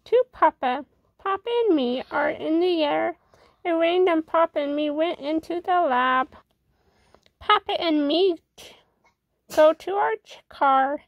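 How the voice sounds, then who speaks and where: Female storybook reader: very high voice, unhurried, expressive read-along with casual asides. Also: gravelly, no room sound, dull audio. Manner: informal, expressive.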